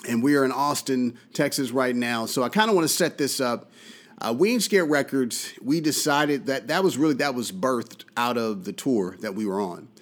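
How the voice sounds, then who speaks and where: A man hosting a casual talk radio show from a studio, relaxed and full of uh and mm-hmm fillers. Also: medium pitch, normal speed. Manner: relaxed, fills his speech with uh and mm-hmm. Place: studio in Austin, Texas.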